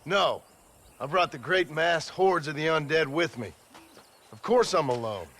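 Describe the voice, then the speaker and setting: An actor playing a gruff, sarcastic Old West gunslinger in a video game, curt and impatient. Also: male voice, fairly high pitch, expressive, unhurried, fairly bright tone. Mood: impatient shouting. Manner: sardonic, curt. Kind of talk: video game cutscene acting.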